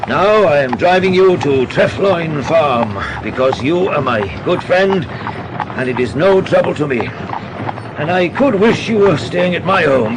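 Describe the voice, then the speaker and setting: Grave Welsh country doctor. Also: male voice, fairly high pitch, background noise. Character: weary, frustrated rational Welsh country doctor. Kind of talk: radio drama acting.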